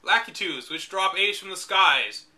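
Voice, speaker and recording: A man with a high, very bright voice reads from a book, stopping often for sardonic, exasperated remarks. His asides are dry and mocking. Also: expressive, unhurried. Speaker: reader and commentator. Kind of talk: reading a book aloud with sarcastic commentary.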